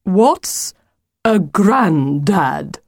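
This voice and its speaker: A woman's voice: medium pitch, very animated, takes it slowly, a little mellow, dry recording, studio quality, pitched above the performer's own voice. Woman putting on a pompous, swooping storybook wolf. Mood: indignant, puzzled. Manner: grandiose, emphatic, wheedling old-fashioned phrasing. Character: pompous, theatrical, insistent storybook wolf, male, easily dismayed.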